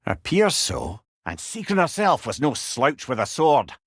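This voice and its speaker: A wise old Scottish companion from a video game, talkative and sentimental under the wry surface. His delivery swings freely up and down in pitch, folksy yet eloquent. The voice sits close and dry, with no room around it. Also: wildly swooping pitch, a little slow, no echo. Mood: warmly encouraging. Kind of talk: video game character dialogue.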